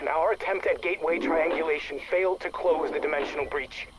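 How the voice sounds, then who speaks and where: Man acting a high-voiced, stammering, frightened specialist. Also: lightly acted. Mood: shaken, despairing. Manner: halting, stammering, agitated. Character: anxious, bitter male project specialist recording testimony in hiding. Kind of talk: recorded audio log monologue. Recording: noisy audio log tape.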